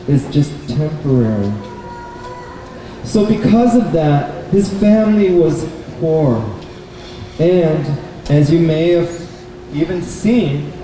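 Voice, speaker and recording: A man telling a story live to children at a puppet show, in short, simple explanatory phrases. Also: on the high side. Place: public event in a bookstore, mic at a distance. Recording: echoey, distant, noisy live event recording.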